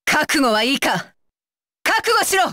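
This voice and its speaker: A high, dry-recorded young warrior woman from an anime fighting game. She is stern and scornful, with widely swinging pitch and a deliberate, commanding delivery. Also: very animated, sharp tone, no room sound, heavily acted. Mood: sharp, commanding. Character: fierce, disdainful young shinobi warrior woman, dutiful and stern. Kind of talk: Japanese anime video game battle voice lines.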